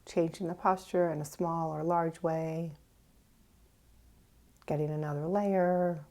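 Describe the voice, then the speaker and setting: A woman with a soft-edged, dark and relaxed voice leads a guided meditation at a very slow pace. She is gentle and leaves pauses between phrases. Her words often trail away into a breathy near-whisper. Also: low-pitched. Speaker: meditation teacher. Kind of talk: guided meditation, unhurried and instructive.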